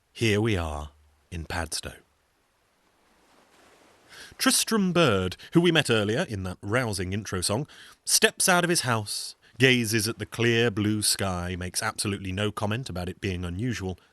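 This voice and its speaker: Male storyteller, low voice, lively swooping intonation, sardonic asides, theatrical comic delivery, dry close sound. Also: measured pace, long pauses, dry recording. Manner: wry, sardonic, conversational asides. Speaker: storyteller, solo show performer.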